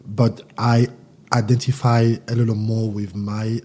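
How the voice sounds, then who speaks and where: A man's voice: fairly low pitch, flat delivery, unhurried, mellow. A man from Central Africa, raised in France, speaking candidly and reflectively as a guest, often restarting his sentences. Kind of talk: casual interview.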